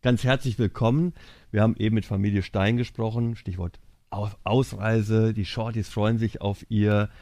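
A man's voice: fairly low pitch, steady tone, normal speed, a little mellow, dry recording. A man hosting a live studio interview, asking questions and murmuring agreement while guests speak. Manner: frequent murmured backchannel. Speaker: livestream host.

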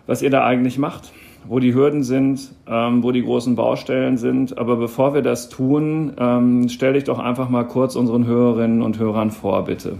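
A man hosting a podcast interview with a gravelly edge to his voice. He speaks in a relaxed way and often pauses with fillers while framing his questions. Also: mid-range voice. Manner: relaxed, frequent hesitations. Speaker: podcast host.

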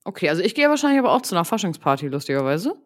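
Lively, playful young woman, low voice. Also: rapid-fire. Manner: playful, jokey, laughs often. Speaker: podcast co-host. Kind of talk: casual chat. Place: remote call.

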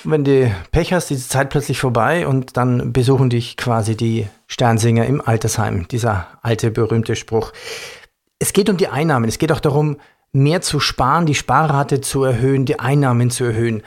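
A man's voice: mid-range voice, normal speed. A man hosting an interview, recapping points and asking questions in an informal, colloquial way. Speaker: interview host.

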